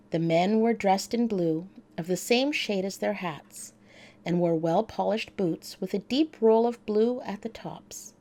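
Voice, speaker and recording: A woman's voice: mid-range voice, dark tone. A woman narrating a children's bedtime story as a storyteller.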